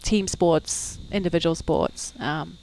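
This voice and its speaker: A woman, a fitness coach guest talking casually at home in a halting way, with many fillers and trailing lists. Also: fairly low pitch, gravelly, darkish tone, rough recording. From New Zealand. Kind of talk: casual interview chat.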